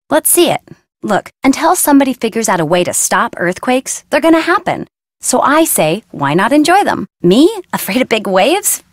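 Casting: a young surfer girl for a video game. Blunt, breezy, slangy delivery with lively swings in pitch, recorded dry. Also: no echo. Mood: breezy, businesslike, then carefree, excited. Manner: casual, slangy, flippant. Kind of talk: video game voice acting, casual slangy dialogue.

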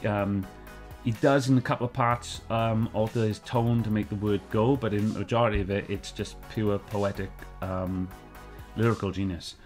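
A man's voice: fairly low pitch, steady tone, moderate pace, darkish tone, soft background music. A middle-aged man with a Welsh accent, hosting a casual review chat from his living room sofa, rambling and repeating himself with lots of fillers. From Wales. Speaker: host.

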